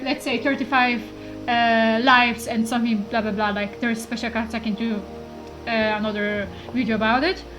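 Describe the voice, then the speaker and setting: A woman's voice: on the high side, low-quality recording. A young woman from Poland with a Polish accent, chatting casually to camera about a card game, rambling with lots of fillers. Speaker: gaming YouTuber.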